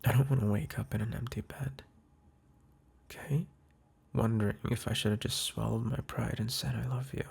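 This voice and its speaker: A man with a husky, breathy voice speaking softly and hesitantly into a close mic, whispering a tender apology as a boyfriend to the listener. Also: medium pitch, steady tone, moderate pace. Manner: soft, hesitant, tender, with sighs and restarts. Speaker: boyfriend in a roleplay audio. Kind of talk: intimate apology roleplay to the listener, ASMR-like.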